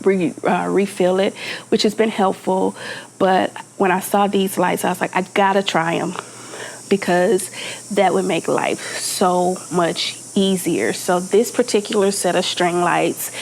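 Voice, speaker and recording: A woman's voice: mid-range voice, gravelly, darkish tone, a little hiss or hum. A woman vlogging from her deck, chatty and upbeat, a bit nasal and stuffed up from allergies. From Texas. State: congested, talking through her nose. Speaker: home vlogger. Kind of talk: casual chat to camera. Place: outdoors on a home deck.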